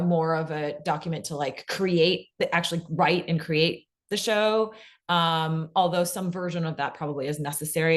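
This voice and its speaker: A woman's voice: deep voice, fairly bright tone. A woman giving an informal instructional talk as a panelist, chatty and explanatory, often dropping "like" into her sentences. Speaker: panelist, fiction podcast expert.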